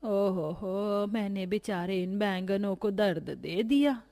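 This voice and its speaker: Woman acting a slow, kind old grandmother. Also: medium pitch. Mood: sorrowful. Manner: gentle, drawn-out, tender. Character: poor, kind-hearted old village grandmother selling vegetables. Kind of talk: cartoon story character voice.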